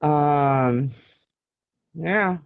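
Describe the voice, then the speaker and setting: A man's voice: fairly high pitch, drawn-out words, gravelly, smooth, no room sound. American man in his forties, thin phone-like audio. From Illinois, United States. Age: 40s. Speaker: author being interviewed. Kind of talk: casual interview chat.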